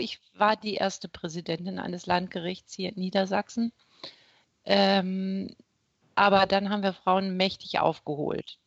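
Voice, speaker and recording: A middle-aged woman from northern Germany, a judge and court president, answering interview questions in a measured way with frequent hesitations. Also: mid-range voice, gravelly, no echo, lo-fi sound. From East Frisia, Germany. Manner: measured, many hesitation sounds.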